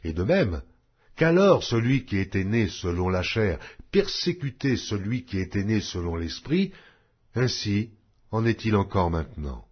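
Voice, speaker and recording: Slow, husky male scripture reader. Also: lowish voice, big pitch swings. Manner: solemn, measured delivery with emphatic rises. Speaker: Bible reader. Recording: dull lo-fi recording.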